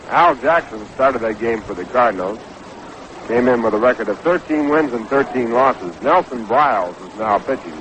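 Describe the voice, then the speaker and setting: Male play-by-play baseball announcer, medium pitch, unhurried delivery, vintage AM radio sound with slight noise. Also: fairly bright tone. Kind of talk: live radio play-by-play commentary. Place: stadium broadcast booth. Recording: noisy vintage 1960s AM radio broadcast.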